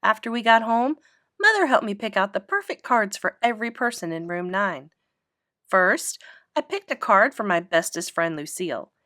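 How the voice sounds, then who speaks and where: A woman's voice: medium pitch, lively intonation, normal speed, sharp tone, dry recording, clean studio sound. A woman reading aloud a children's story, narrating expressively in a child's first person.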